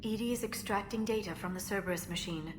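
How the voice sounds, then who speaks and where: An actress playing a gentle, grave alien scientist in a video game, speaking in a measured, earnest, precise way. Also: female voice, mid-range voice, noisy background, close to an everyday voice. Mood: grave, concerned. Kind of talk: video game cinematic acting.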